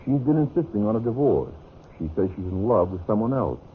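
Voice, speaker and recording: A man acting a smooth, scheming husband and business partner in 1950s radio drama, falsely friendly and insinuating, with deliberate emphasis. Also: fairly low pitch, very animated. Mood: grave confiding. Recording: dull, noisy old radio recording.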